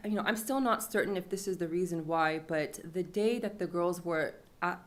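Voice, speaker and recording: Young woman, low register, loose conversational storyteller addressing an audience. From Iran. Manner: conversational, with fillers and laughs. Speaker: panel speaker. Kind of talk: storytelling talk at a panel event.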